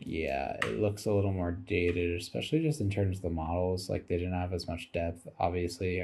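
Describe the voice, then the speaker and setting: Tired, low-voiced man with gravelly fry. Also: a little mellow. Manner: musing aloud, thinking as he goes, casual swearing. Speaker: video creator commenting over game footage. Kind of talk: rambling casual commentary.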